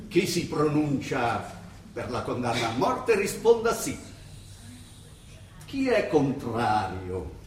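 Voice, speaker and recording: An actor playing a stern, pompous older prelate presiding over a tribunal, formal, declamatory and impatient, in radio theatre style. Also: male voice, medium pitch, exaggerated voice. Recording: distant, roomy stage sound, faint noise.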